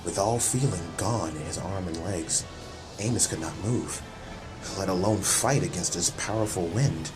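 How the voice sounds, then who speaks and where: Low-voiced man narrating a dramatic story. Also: normal speed, over music. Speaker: audiobook narrator.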